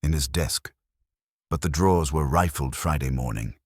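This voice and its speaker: A man voicing an earnest, composed widow in a mystery audiobook, explaining things in a measured way. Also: rumbling low, husky, dry recording, clean studio sound. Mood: composed, concerned. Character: earnest, composed widow defending her late husband.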